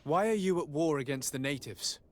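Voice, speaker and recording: A man's voice: on the high side, animated, moderate pace, close to an everyday voice. A man playing a composed noble legate in a video game cutscene, speaking formally and politely.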